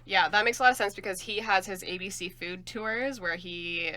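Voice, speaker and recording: A woman's voice: mid-range voice, moderate pace, sharp tone. A woman co-hosting a podcast, chatting playfully and laughing easily. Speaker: podcast co-host. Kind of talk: casual chat.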